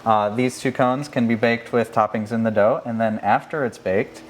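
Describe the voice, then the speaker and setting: A man's voice: mid-range voice, steady tone, moderate pace. American man explaining over noticeable background noise. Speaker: bakery worker demonstrating products. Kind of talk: informal product walk-through. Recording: clear background ambience noise.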